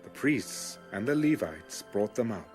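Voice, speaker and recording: A man reading scripture as a scripted audio Bible narration, in a measured, formal way. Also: fairly low pitch, very animated, a little mellow. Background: music under the reading.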